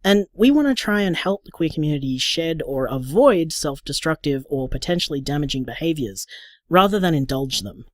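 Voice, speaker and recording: An actor playing a sociable, flashy bar co-owner in a radio drama interview, chatty and upbeat with an Australian accent. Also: male voice, a little high, lively intonation, moderate pace, no room sound, studio quality, close to an everyday voice.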